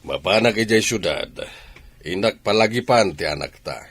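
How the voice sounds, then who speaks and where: Deep, husky, stern father played by a man. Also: animated, moderate pace, fairly bright tone, dry recording. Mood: firm. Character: stern, controlling rich father threatening disinheritance. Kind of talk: Ilocano audio drama acting.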